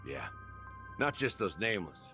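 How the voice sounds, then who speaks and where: Deep, wry, weary rogue. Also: male voice, big pitch swings, moderate pace. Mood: wry, reflective. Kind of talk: video game drama voice acting. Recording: loud music behind the voice.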